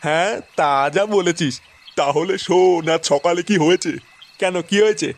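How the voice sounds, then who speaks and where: A man's voice: high voice, moderate pace. A man voicing a crude, bossy older village man in a comedy cartoon, swearing emphatically. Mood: chatty, grumbling. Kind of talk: foul-mouthed comedy cartoon dialogue.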